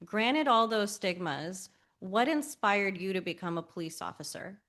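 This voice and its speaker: A woman's voice: mid-range voice, animated, a little slow, a bit bright. Lady host doing an interview, earnest, lots of ums and you knows. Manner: earnest, many fillers. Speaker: podcast host.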